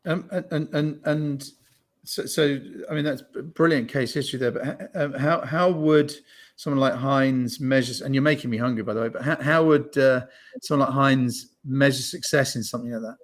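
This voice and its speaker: A man hosting an online interview, brisk and upbeat, often stumbling into restarts as he frames his questions. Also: medium pitch. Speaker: host.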